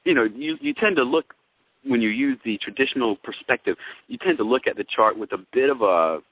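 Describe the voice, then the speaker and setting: A man with a mid-pitched voice talks as an astrology co-host. He explains in a folksy, conversational way, turning to the listener often. The audio is thin and phone-like, with very little room sound. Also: animated, fairly quick, no echo. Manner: explanatory, folksy, addresses listeners with tag questions. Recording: tinny, phone-like radio-show audio.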